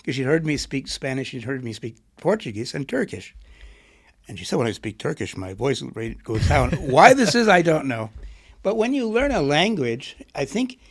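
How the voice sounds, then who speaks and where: A man's voice: medium pitch, big pitch swings, normal speed, husky. A middle-aged man, an author and poet, being interviewed and thinking aloud with a reflective, rambling manner. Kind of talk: conversational interview.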